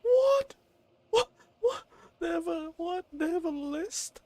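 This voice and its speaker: A man's voice: extremely high pitch, lively intonation, slow pace, dark tone. A man streaming a game, reacting casually with profane, rambling, stop-start comments. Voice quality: rambling, profane, stammering restarts.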